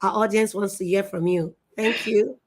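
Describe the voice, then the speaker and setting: Middle-aged lady hosting a live web show, gushing and emotional, repeats stuff a lot, mid pitch. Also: fairly even intonation, normal speed. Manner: effusive and emotional, with repetition and restarts. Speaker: show host.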